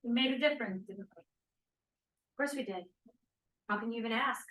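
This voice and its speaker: A woman's voice: a little high, normal speed, a bit bright, natural voice. A woman playing an earnest, hopeful activist in a scripted play reading. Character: earnest, hopeful woman activist looking back on her cause. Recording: thin phone-like sound.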